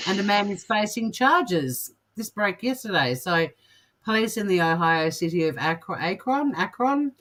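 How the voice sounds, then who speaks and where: Aussie woman w a low voice, chatting and reading out weird news, kinda amused. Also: fairly bright tone. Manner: jokey, amused. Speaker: co-host of a comedy news show. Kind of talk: casual chat and reading out odd news.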